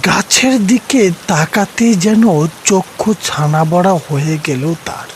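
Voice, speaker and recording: A man's voice: sits high, slow pace, slight background noise. A man narrating a scripted horror story as a dramatic storyteller, with rain behind him.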